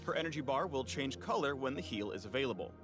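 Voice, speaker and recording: A man's voice: mid-range voice, lively intonation, quick pace, over music. A man reading scripted game tutorial narration in a polished, explanatory promotional delivery. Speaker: narrator of a champion spotlight.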